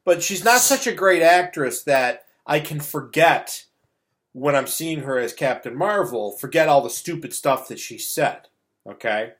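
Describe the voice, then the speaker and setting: A man's voice: fairly high pitch, expressive, measured pace, a bit bright. A middle-aged man hosting a casual movie review, ranting in a blunt, scornful, exasperated way. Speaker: host of a movie review show. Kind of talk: casual opinionated movie rant.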